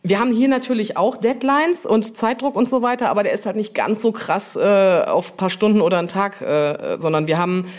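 A woman talks casually as the guest in an interview. Her voice sits at a middling pitch, and her wording is colloquial and chatty, like relaxed shop talk about her job. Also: a little fast, few pauses. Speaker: interview guest, publishing brand manager.

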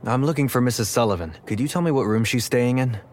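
An actor playing a troubled, world-weary 1930s police officer with a terse, brusque delivery in a noir audio drama. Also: male voice, mid-range voice, fast talker, darkish tone, natural voice. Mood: urgent. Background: music.

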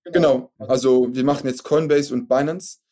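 A man with a Luxembourgish accent whose first language is French, talking casually as a guest on a podcast and pausing often with fillers and restarts. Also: mid-range voice, dark tone. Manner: halting, many fillers and restarts. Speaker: startup founder guest.

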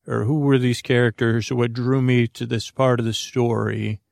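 Creaky-voiced man rambling gently off on tangents. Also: mid-range voice, level tone, dry recording, studio quality. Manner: meandering, halting, full of tangents and false starts; goofy, whimsical. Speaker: podcast host. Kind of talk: rambling sleep podcast intro.

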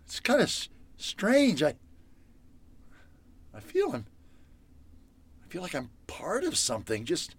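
An actor playing a panicked, foul-mouthed captive in old-time radio horror style, halting and melodramatic. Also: male voice, sits high, dry recording, heavily acted. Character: panicked, foul-mouthed captive man pleading and scheming to escape.

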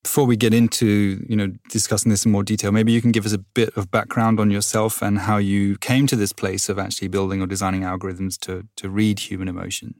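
A man's voice: lowish voice, level tone, fast talker, few pauses, a little mellow, dry recording, studio quality. A man hosting a podcast, narrating a scripted intro and then asking questions conversationally with fillers. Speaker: podcast host.

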